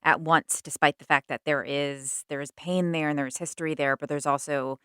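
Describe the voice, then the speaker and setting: Low-pitched female host, dry close studio sound, thoughtful interviewer. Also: steady tone, normal speed, fairly bright tone, clean tone, no echo. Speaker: podcast host. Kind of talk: interviewing and narrating.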